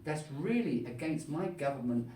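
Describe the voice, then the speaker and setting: A man's voice: a little high, sing-song, a little fast, mellow. Man, casual vlogger interviewer, chatty and jokey; roomy sound, mic set back. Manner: chatty, frequent "yeah" and "um", jokey. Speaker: vlogger interviewing his host. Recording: echoey room, mic at a distance.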